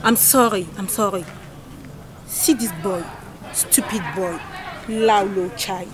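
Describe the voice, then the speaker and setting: A woman acting in a comedy sketch, loud and scolding. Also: medium pitch, fairly even intonation, slow pace, a crowd in the background. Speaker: comic actress. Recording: noticeable background hiss.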